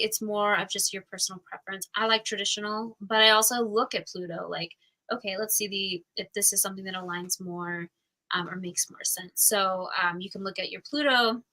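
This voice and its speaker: Woman teacher, casual live lesson, chatty, bright tone, loose and conversational. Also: medium pitch, rough recording. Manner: chatty, filler-heavy, laughs often. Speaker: astrology class teacher.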